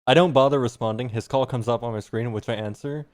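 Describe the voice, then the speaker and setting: A man's voice: medium pitch, fast talker, darkish tone. A man streaming, reading fan fiction aloud with some embarrassed amusement.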